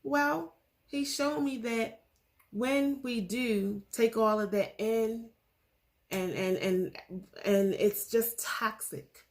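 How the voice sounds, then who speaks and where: Earnest woman in her forties, vlogger; slow, gravelly, many pauses and fillers. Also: medium pitch. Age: 40s. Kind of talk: casual faith vlog.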